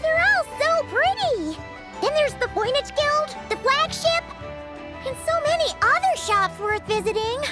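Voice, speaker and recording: A tiny, excitable floating girl companion in a video game, squeaking at a very high pitch with wild swings of tone. She chatters about herself in the third person, with loud game music behind her. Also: measured pace, heavily acted. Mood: excited.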